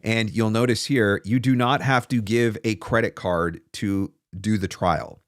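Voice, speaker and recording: Man in his forties, lower-pitched voice, casual livestream host, conversational explainer, close dry mic. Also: steady tone, measured pace, no room sound. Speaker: livestream host, voice-over coach. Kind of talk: casual livestream advice talk. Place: small home studio room, indoors. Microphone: close desktop broadcast microphone.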